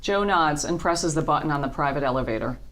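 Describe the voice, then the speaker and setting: Casting: female narrator with a deep register, deliberate and matter-of-fact, for scripted scene descriptions. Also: lively intonation, a bit bright. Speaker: narrator reading stage directions.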